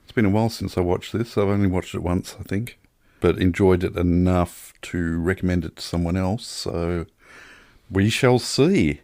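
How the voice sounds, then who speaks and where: A man's voice: deep voice, normal speed, darkish tone, no room sound, studio quality. A man co-hosting a casual film podcast in a jokey way, often on the edge of laughter. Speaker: podcast co-host.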